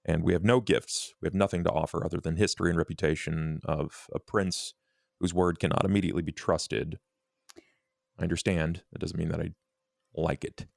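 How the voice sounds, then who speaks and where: A low, heavily creaking voice for a fussy undead bureaucrat , played by a man. He speaks in measured, formal phrases with a deadpan air, as in a tabletop roleplay game, recorded close and dry. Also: no echo. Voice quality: gravelly, creaky.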